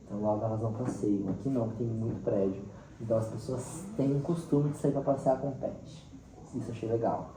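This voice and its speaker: A man with a central-western Brazilian accent talking casually and expressively as a teacher being interviewed, sprinkling in little acted quotes. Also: medium pitch. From Mato Grosso do Sul, Brazil. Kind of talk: casual, animated storytelling in interview. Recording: roomy, distant mic, faint background noise.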